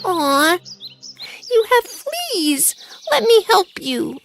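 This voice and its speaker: An actor voicing a tiny, timid yet brave mouse in a children's animated story, earnest, hesitant and deferential. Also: very high-pitched, very slow, vocal fry, faint music behind, heavily acted. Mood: concerned.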